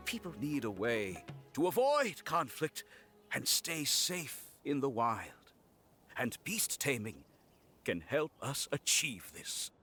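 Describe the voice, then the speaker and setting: An actor playing an earnest, courteous gentleman patron in a video game, speaking formally and sincerely. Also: medium pitch, sing-song, takes it slowly, exaggerated voice. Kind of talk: video game character acting, formal speech. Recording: music playing behind.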